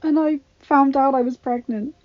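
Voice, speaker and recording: A tender young wife, played by a woman narrator speaks in a high, emotional voice full of grief. Her delivery is breathy and sobbing, as in a dramatic audiobook, on a slightly dull home recording. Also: steady tone, moderate pace, lightly acted. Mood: grieving.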